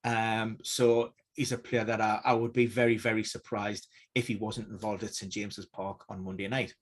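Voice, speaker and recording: A man giving injury news analysis on a casual live stream, measured and hedging, with many fillers and restarts. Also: fairly low pitch, flat delivery.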